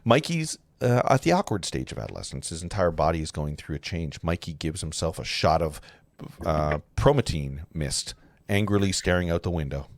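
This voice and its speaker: Low-voiced man reading stage directions. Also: sing-song, no room sound. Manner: halting, self-correcting. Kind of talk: live table read of a film script.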